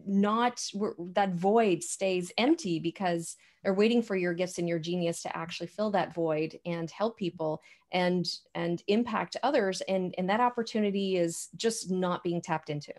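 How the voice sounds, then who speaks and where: Low-voiced woman chatting on a video call. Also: moderate pace, few pauses, a little mellow. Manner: affirming, leans on "you know" fillers. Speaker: co-host of an online coaching talk. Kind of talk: casual conversational chat.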